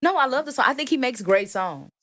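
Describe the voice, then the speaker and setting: Woman, singer-songwriter guest; deep voice, emphatic and playful, dry close recording. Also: fast talker, no echo. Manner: animated, emphatic, playful teasing. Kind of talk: casual interview chat.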